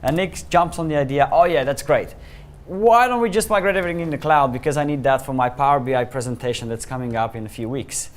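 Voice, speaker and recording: A man's voice: medium pitch, quick pace. A man from Slovenia with a Slovenian accent, giving a conference talk as a solution architect and telling the story with chuckles and fillers. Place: meeting room with audience.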